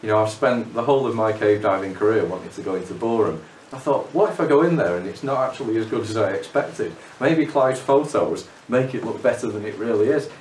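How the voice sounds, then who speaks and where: Middle-aged guy, lowish voice, talks cave diving, restarts a lot, echoey room a bit off mic. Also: animated, brisk, a little mellow, a little hiss or hum. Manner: repeats words when starting phrases. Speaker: cave diver. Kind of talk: documentary interview. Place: living room. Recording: roomy, from a distance.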